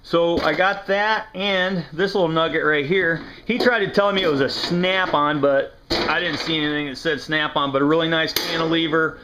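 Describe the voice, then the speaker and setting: A man's voice: fairly high pitch, fairly even intonation, normal speed, background noise. An American man chatting casually to the camera in a laid-back, slangy, folksy way as he shows off his haul. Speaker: reseller showing yard sale finds. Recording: dull lo-fi camera audio.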